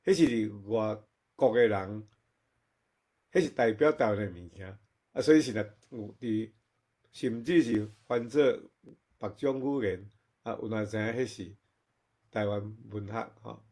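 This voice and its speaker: A man with a Taiwanese accent giving casual comments at an online forum, speaking in a halting, conversational way and repeating himself. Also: on the low side, darkish tone. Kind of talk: casual spoken reflection.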